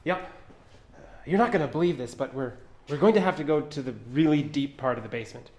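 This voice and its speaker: A man's voice: fairly high pitch, quick pace, darkish tone, natural voice. An actor playing an earnest, touchy man exploring an old building, stammering a little in naturalistic audio drama dialogue. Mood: nervous, eager. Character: earnest, touchy male urban explorer telling local legends. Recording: echoey hollow room sound.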